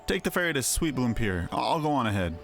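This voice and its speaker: A man's voice: medium pitch, big pitch swings, fast, vocal fry, faint music behind. A man voicing a small, timid but earnest moogle creature in a fantasy video game. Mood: reluctant.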